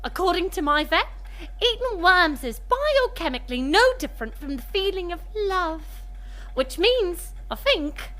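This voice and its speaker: An actress playing a small, naive, earnest female mole sidekick, speaking deliberately in a comic audio drama. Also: extremely high pitch, very animated, fairly bright tone, heavily acted.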